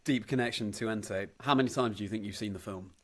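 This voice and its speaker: Low-pitched, encouraging male podcast host. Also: quick pace, fairly bright tone. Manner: encouraging, appreciative. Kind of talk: casual interview chat.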